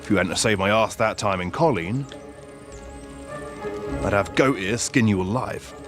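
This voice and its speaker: Man playing a slow, menacing bandit chief. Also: fairly low pitch, loud music behind the voice, lightly acted. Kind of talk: video game cutscene acting.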